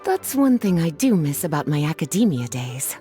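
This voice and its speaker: A woman's voice: low voice, sing-song, normal speed, dark tone. A woman voicing an elegant, playfully teasing young scholar in a video game, leisurely and coy, over music. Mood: warm, reflective. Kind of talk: scripted game dialogue acting.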